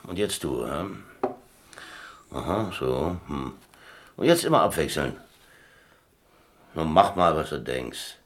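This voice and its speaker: An actor playing a worldly, plain-spoken prison inmate in a radio drama, explaining things in a colloquial, laconic, matter-of-fact way. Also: male voice, extremely low pitch, sing-song, takes it slowly, pauses often, full character voice. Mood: patient, instructive.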